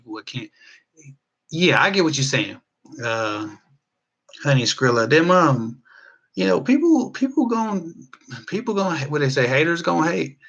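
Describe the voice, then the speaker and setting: A man's voice: medium pitch, fairly bright tone, muffled recording. An American man from the Midwest giving casual, unscripted advice to viewers in an emphatic, rambling way, repeating words to drive his points home. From Midwestern US (Ohio). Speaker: relationship advice vlogger.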